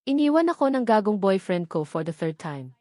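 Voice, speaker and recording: A woman's voice: medium pitch, sing-song, normal speed, dark tone, no room sound, clean studio sound, natural voice. A woman voicing a heartbroken, tipsy young woman who vents bluntly and bitterly in an audiobook romance drama. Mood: bitter, hurt. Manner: bitter, exasperated, mixing Tagalog and English slang.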